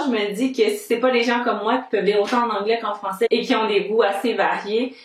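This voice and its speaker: A young woman with a Québécois French accent, chatting casually to camera as a book vlogger and laying out her reasons one by one. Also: medium pitch. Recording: roomy echo.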